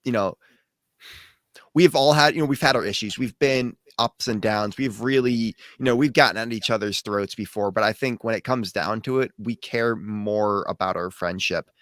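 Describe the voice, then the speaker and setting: A man, co-founder of a livestream show, recalling its start in an earnest, halting way full of fillers. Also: fairly low pitch, a bit bright, no room sound, studio quality. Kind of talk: casual livestream chat.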